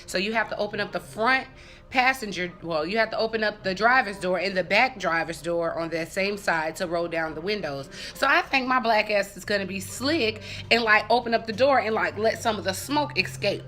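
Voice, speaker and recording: A woman vlogger telling a story casually and comedically to her viewers, animated and profane, with conversational asides and acted-out dialogue. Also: medium pitch, brisk, faint music behind.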